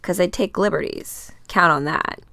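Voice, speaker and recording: A woman's voice: lowish voice, moderate pace, vocal fry, bright, forceful tone, dry recording. A woman voicing a cocky male villain's henchman in a comic book reading, slangy, mocking and menacing. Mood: smug, threatening.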